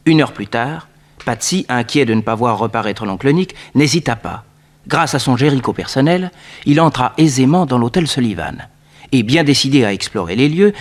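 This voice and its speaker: A man narrating a 1960s French radio serial as a scripted story, in a measured, dramatic way. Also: mid-range voice.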